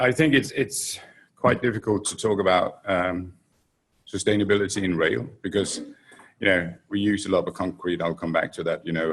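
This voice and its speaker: A middle-aged Danish man with a Danish accent, giving a rambling, filler-heavy talk on a conference panel into a handheld mic. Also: sits low. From Denmark. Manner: loose, rambling with many fillers and restarts.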